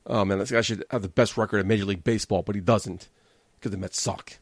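A man's voice: fairly low pitch, steady tone, quick pace, no echo. A man, hosting a casual solo podcast, chatty and joking with his listeners. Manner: chatty, self-deprecating, joking asides to listeners. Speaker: podcast host.

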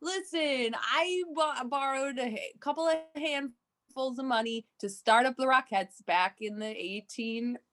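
A woman's voice: sits high, unhurried, a bit bright. A woman voicing a creepy, crude little old man who bosses people brashly in an improvised comedy sketch. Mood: shifty, defensive. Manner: brash, bossy, shameless.

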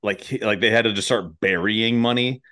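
A man's voice: lowish voice, normal speed, sharp tone. A man hosting a casual comedic chat, rambling and jokey, swearing freely as he goes. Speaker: podcast host.

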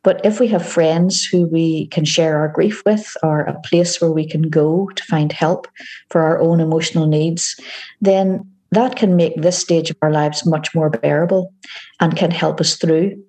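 A woman with a Northern Irish accent, a community organisation director, giving calm, measured answers as a podcast interview guest. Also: low-pitched. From Northern Ireland.

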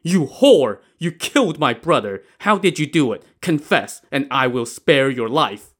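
A man's voice: medium pitch, big pitch swings, measured pace, a bit bright, husky, heavily acted. A man voicing a vengeful, fierce constable in a story, roaring threats and giving stern commands. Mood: furious roaring.

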